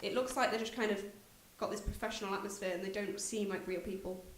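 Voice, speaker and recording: A woman's voice: mid-range voice, steady tone, fast. A woman on a podcast, chatting casually in a film discussion. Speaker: podcast host. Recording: echoey room, clear background noise.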